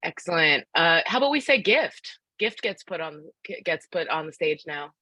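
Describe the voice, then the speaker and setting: A woman's voice: low voice, sing-song, normal speed, pushed and bright, dry recording. A woman from Philadelphia presenting a live online workshop. She speaks in an upbeat, encouraging way, asks the audience to join in, and uses many fillers. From Philadelphia, United States. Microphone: video call microphone.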